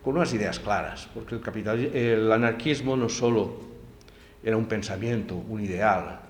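A man's voice: on the low side, moderate pace. A man giving a lecture at a library event, emphatic and dotted with tag questions. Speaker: speaker giving a talk. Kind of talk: public lecture. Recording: very echoey room, faint background noise.

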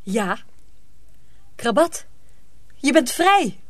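A woman's voice: sits high, very animated, drawn-out words, no echo, clean studio sound, exaggerated voice. An actress playing a brave, loving village girl in a radio drama, earnest and resolute. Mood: tender, firm.

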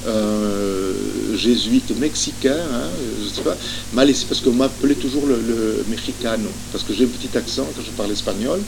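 An older man from Spain giving a hesitant, reminiscing talk full of fillers and restarts, telling stories from his childhood. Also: medium pitch. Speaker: guest speaker, former academic and author. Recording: noticeable background noise and hum.

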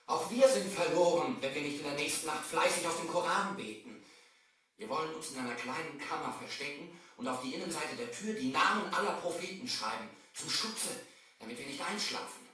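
An actor playing a frightened, pious and loyal servant, agitated and pleading, in a radio play. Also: male voice, a little high, a bit bright. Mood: fearful, urgent. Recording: echoey distant old vinyl record.

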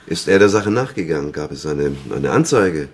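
An actor playing a middle-aged police detective, terse, husky and weary, questioning insistently in a crime radio drama. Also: male voice, very deep voice, animated, normal speed.

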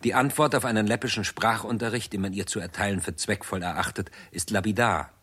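A man narrating a 1960s radio drama as a sober, detached chronicler, measured and formal. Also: fairly low pitch, steady tone, a bit bright, husky.

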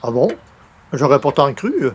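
An actor playing a skeptical, unflappable everyman in a comic radio play, dry, understated and gently sarcastic. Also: medium pitch, sing-song, measured pace, slightly rough. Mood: dryly puzzled. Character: skeptical, unflappable everyman, dryly humoring a schemer. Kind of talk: comic radio play acting in old-time sitcom format.

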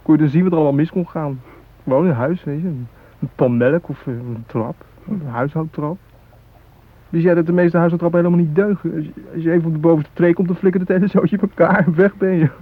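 An actor playing a chatty, naive young technical-school student, rambling colloquially in a radio drama, with a husky voice. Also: male voice, on the high side, quick pace, close to an everyday voice. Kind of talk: radio drama dialogue, casual chat. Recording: tinny phone-like old radio recording.

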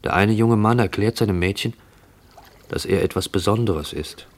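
Haunted, introspective man, radio play monologue, low-pitched, measured and fixated. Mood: brooding, fixated. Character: haunted man narrating his obsessive pursuit of a stranger.

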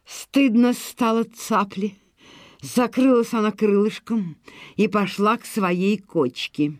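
A woman telling a scripted folk tale in an expressive, folksy, measured storytelling manner. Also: mid-range voice, no room sound.

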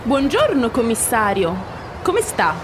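A woman's voice: on the high side, huge pitch swings, measured pace, background noise. A woman playing a middle-aged holidaying lady in a scripted lesson dialogue, exclaiming and gasping. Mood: chirpy.

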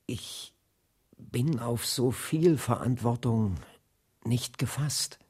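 An actor playing a weary, earnest young preacher and leader in a German radio play, with a husky voice. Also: male voice, medium pitch, slow, a little mellow. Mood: overwhelmed. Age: young adult.

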